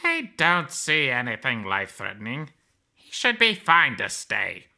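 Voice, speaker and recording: A man's voice: medium pitch, huge pitch swings, unhurried, vocal fry, sharp tone, voice pushed up, exaggerated voice. A man voicing a callous animal bandit guard in a visual novel, speaking in a dismissive, sneering way.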